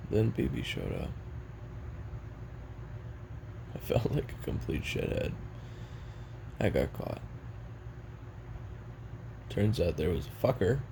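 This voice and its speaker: Man acting a very deep, gravelly, weary assassin. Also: background noise, heavily acted. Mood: bitter, ashamed. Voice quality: gravelly, creaky. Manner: halting, brooding, casually profane. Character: weary, regretful young male assassin confessing his past. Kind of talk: audio roleplay monologue, taped confession.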